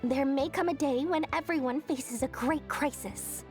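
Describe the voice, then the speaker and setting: A woman's voice: sits high, normal speed, darkish tone, close to an everyday voice. A woman playing a small, shrewd, boastful merchant girl in a video game, with a theatrical, sly delivery. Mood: explaining, confident. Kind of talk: animated video game character acting. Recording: game music behind the voice.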